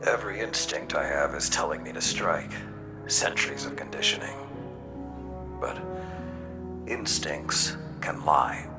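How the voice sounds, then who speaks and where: An actor playing a gruff, distrustful old warlord in a game cutscene, gravelly, terse and severe. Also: male voice, a bass voice, animated, measured pace, pauses often. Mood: grim restraint. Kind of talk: video game cutscene acting. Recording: loud music behind voice.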